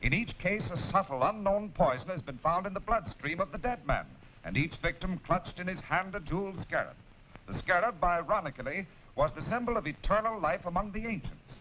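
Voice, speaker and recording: A man's voice: sits high, lively intonation, a little fast. A man narrating a 1940s film serial from a script in a formal, dramatic manner. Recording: dull, noisy 1940s film soundtrack.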